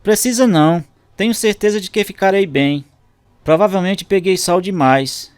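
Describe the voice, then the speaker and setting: An actor playing a loving, earnest husband in a radio drama. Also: male voice, high voice, moderate pace, clear, resonant tone, no room sound, natural voice. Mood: weary. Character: Eduardo, loving, worried husband.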